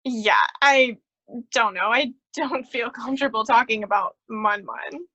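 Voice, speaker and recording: A polite, anxious woman played by an actress in a staged play reading, earnest and full of hesitations. Her voice is high and bright, in a dry recording. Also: measured pace, no echo, poor audio. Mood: uneasy. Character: polite, apologetic, nervous woman accountant newcomer.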